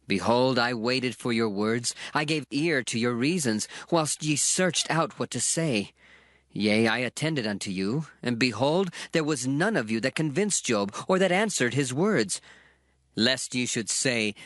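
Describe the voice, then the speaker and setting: A man's voice: mid-range voice, a bit bright, dry recording, clean studio sound. An actor playing an indignant young man earnestly rebuking his elders in a dramatized scripture reading.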